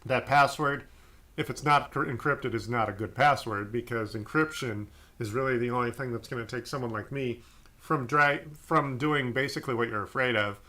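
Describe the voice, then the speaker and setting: A man with a bright, forceful voice of medium pitch gives casual advice as a tech advisor. He explains in a measured, plain-spoken way, in a conversational register. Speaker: tech support advisor, host.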